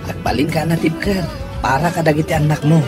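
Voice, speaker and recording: A man's voice: on the high side, brisk, over loud music, natural voice. An actor playing a man's frank drinking buddy in an Ilocano radio drama, advising firmly with an Ilocano accent. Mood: firm, supportive. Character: male drinking buddy giving frank advice.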